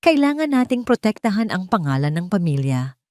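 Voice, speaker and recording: A woman's voice: mid-range voice, very animated, a little fast, a little mellow, no room sound, studio quality, voice pushed up, natural voice. A woman voicing a cold, domineering wealthy older matriarch in an audio drama, stern and commanding, switching between languages. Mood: cold anger.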